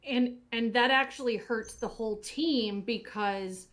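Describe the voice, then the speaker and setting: A woman's voice: on the high side, measured pace, a bit bright. A woman giving casual, reflective commentary in an earnest, motivational, coach-like manner. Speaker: audio drama cast or crew member giving commentary.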